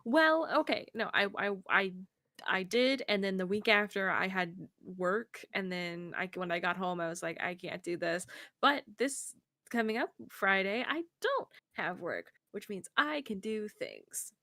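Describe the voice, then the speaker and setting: A woman's voice: medium pitch, expressive, unhurried, pushed and bright, no echo, studio quality. A woman co-hosting a livestream, chatting casually. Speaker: co-host. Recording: some background noise.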